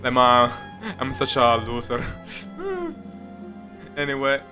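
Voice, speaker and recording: A man's voice: mid-range voice, wildly swooping pitch, takes it slowly. A man streaming a game with casual live commentary, rambling, giggly and often repeating himself. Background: faint game music.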